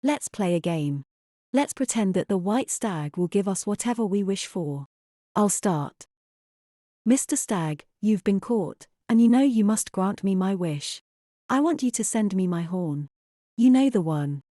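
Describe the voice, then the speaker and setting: A woman plays an earnest young mother in an audio drama, torn between doubt and hope. Her voice is soft-edged and mellow at a medium pitch, deliberate in delivery, recorded close and dry. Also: lively intonation, no echo, natural voice. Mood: earnest pleading. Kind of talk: amateur audio drama acting.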